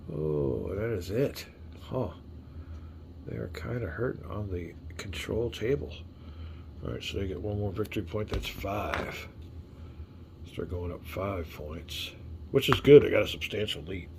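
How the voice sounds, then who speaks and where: A deep, gravelly man talks his way through a board game at home, a little hoarse and half muttering as he reads the rules. His chatty ramble sits over a steady hum of background noise. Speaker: board game video host. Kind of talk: casual solo board game playthrough. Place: home room with game tables.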